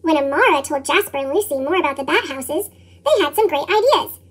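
A woman reading a children's storybook in scripted narration, expressively, through a pitched-up chipmunk voice effect. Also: expressive, fairly quick. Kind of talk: read-aloud scripted narration.

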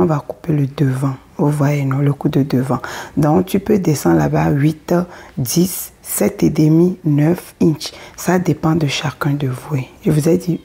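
Husky woman with a cold teaching sewing. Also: sits low, dark tone. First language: not French. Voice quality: husky, gravelly. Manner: plain step-by-step explaining, often asks the viewer if they follow. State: has a cold, hoarse. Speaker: sewing tutor. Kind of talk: casual sewing tutorial. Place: indoors at a table.

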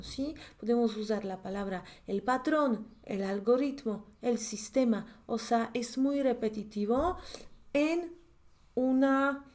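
A woman's voice: a little high, darkish tone. A woman in her thirties with a Polish accent, giving a chatty online grammar lesson as a language teacher, checking in with the listener often. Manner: chatty, explanatory, asks "¿sí?" often. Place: indoor room, at a desk. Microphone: webcam in a corner of a screen share.

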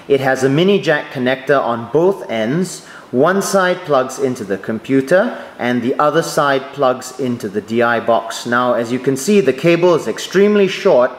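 A man giving a step-by-step tutorial on church sound equipment, explaining clearly and methodically. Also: medium pitch. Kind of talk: instructional voice-over. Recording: echoey room, faint noise.

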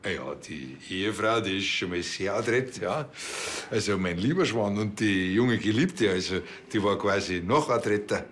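An actor playing a naive, excitable, self-important small-town policeman with a Bavarian accent. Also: lowish voice, moderate pace, a little hiss or hum. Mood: smug, admiring.

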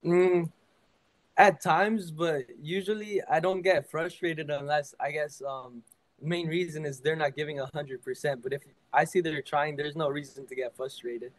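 A teenage boy, a high school soccer player, answers interview questions over a video call from a small room at home. He talks in a relaxed way, leaning on fillers like "like" and "I mean". His voice sits a little high for a man. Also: moderate pace. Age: teens.

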